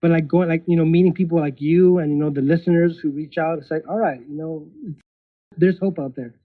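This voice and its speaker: Halting man on a tinny call line. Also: a little high, fairly even intonation, dry recording. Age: 30s. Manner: halting, with restarts and nervous laughs. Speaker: podcast guest. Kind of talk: casual interview. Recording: tinny phone-like call audio.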